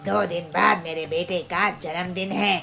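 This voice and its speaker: A woman's voice: lowish voice, steady tone, normal speed, gravelly, bright, forceful tone, faint music behind. A woman voicing a stern, threatening bird customer in a children's cartoon, blunt and commanding. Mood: stern, demanding.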